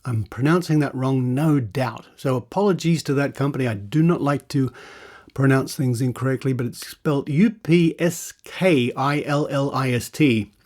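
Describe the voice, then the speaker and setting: A man's voice: mid-range voice, normal speed, a little mellow. A man hosting a podcast, reading out adverts and adding dry, sarcastic asides. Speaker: podcast host.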